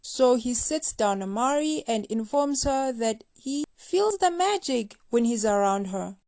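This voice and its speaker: A woman's voice: a little high, lively intonation, a little slow, darkish tone, slight background noise. A woman hosting a recap show, reading scripted commentary in a playful, gossipy, slangy way. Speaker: host of a reality TV recap show.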